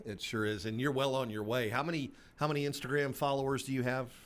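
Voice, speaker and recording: A man hosting a podcast interview, relaxed, sometimes clearing his throat and restarting his sentences. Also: medium pitch, fast talker, crowd noise. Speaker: podcast host.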